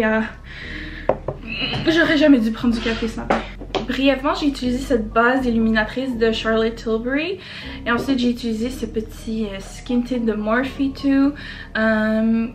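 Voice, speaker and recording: A woman's voice: fairly high pitch, steady tone, normal speed, noisy background. A young woman with a Québécois French accent, chatting casually through a makeup tutorial, hesitating often and slipping in English words. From Quebec, Canada. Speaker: beauty vlogger. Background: background music.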